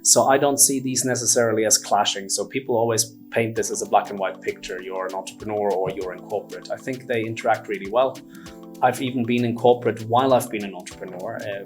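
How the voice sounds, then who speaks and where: A man's voice: on the low side, steady tone, fast, music in the background. A man who grew up in Germany and Finland, speaking as an articulate interview guest who explains things conversationally and with emphatic repetition. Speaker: podcast guest, entrepreneur.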